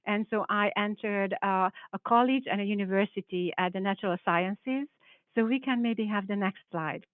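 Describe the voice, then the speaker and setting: A woman with a Hungarian accent, a biology professor giving an earnest, halting panel talk over a video call. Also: mid-range voice, no echo. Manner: hesitant, restarts phrases, earnest. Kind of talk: panel presentation with slides. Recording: tinny phone-like call audio.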